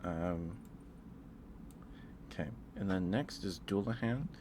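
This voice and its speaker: A man hosting an ASMR livestream, chatting casually in a halting, rambling way with pauses and repetitions. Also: low-pitched, expressive, background noise. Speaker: livestream host.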